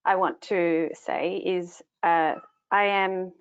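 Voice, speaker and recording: Low-pitched American woman, slightly muffled call. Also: fairly even intonation, slow, clear, resonant tone. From United States, born in Colombia. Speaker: webinar presenter. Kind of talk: informal, encouraging advice. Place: online webinar call. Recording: dull, lo-fi online call audio.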